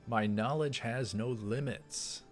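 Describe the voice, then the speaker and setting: A man's voice: mid-range voice, slow pace, soft background music, natural voice. A man voicing a paranoid, grandiose mad scientist from a visual novel, in a dramatic, suspicious manner. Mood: boastful. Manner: dramatic, suspicious inner monologue.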